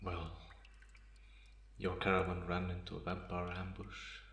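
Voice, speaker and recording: A man playing a calm, teasing half-vampire in an ASMR roleplay, pausing often and sounding softly amused and restrained. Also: low voice. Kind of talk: slow ASMR roleplay monologue. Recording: roomy echo, faint background noise.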